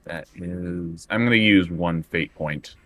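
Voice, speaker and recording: A man playing a tabletop role-playing game, talking casually and haltingly as he works out his moves. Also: deep voice, fairly bright tone, dry recording. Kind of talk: casual improvised game play.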